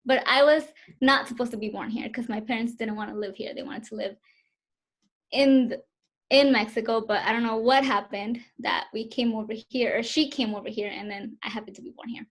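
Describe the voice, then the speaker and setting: A woman's voice: on the high side, steady tone, fairly quick. Hesitant young woman on a video call. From Southern California, US. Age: 20s. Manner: hesitant, many fillers. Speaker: interviewee. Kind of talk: casual interview answers.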